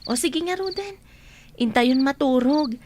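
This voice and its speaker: A woman's voice: high voice, lively intonation, unhurried. A woman playing a cheerful, respectful younger visitor in a radio drama, with an Ilocano accent. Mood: relieved, content. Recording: noisy, clicks and chirping insects.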